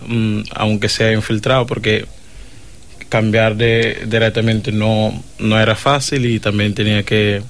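A man from Verde, a seminarian, telling his vocation story with many pauses and humming fillers. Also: fairly low pitch. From Cape Verde. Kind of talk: personal testimony.

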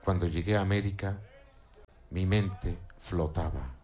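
Deep-voiced male radio presenter. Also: unhurried, a little mellow, faint music behind. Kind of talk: radio commentary translating song lyrics.

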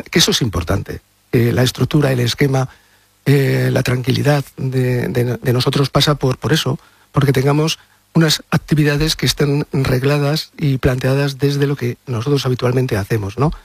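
Man, Madrid accent, husky and creaky, emphatic radio guest, reflective talk. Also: mid-range voice, no echo. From Madrid, Spain. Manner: emphatic, seeks agreement with question tags.

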